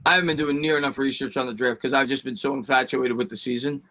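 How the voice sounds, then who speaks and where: A man's voice: mid-range voice, fairly even intonation, quick pace, pushed and bright. A man co-hosting a casual sports livestream, chatting with viewers and fellow panelists. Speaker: sports stream co-host.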